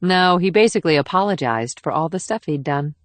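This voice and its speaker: Woman doing a weary female spy, guarded and understated, lowish voice, thriller audiobook, super dry sound. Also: expressive, brisk, dry recording. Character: guarded, world-weary female covert operative. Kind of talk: audiobook character dialogue.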